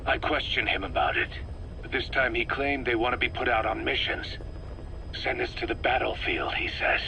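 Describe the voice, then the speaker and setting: Tense, gruff military commander. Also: male voice, medium pitch, moderate pace, sharp tone, rough recording. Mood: defensive. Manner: curt, guarded, terse. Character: gruff military commander, defensive, burdened, guarded. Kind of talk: scripted video game dialogue over radio. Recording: radio tape with background noise.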